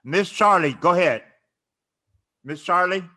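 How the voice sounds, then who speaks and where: A man hosting a panel discussion, briskly calling on speakers and keeping the clock. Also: high voice, pushed and bright. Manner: brisk, keeps time, calls on speakers. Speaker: host, moderator. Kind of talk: moderating a panel debate.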